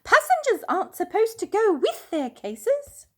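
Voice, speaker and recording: Casting: bossy storybook airport girl, alarmed and commanding, very high and loud read by a woman. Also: wildly swooping pitch, measured pace, exaggerated voice. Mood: urgent alarm. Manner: urgent, commanding calls.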